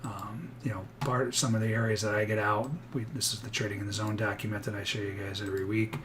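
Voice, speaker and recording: Man, slightly hoarse, lowish voice, chatty live trading commentary with clear noise underneath. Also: little pitch movement, quick pace. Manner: chatty, thinks aloud, many restarts. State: a little hoarse. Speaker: futures day trader streaming live.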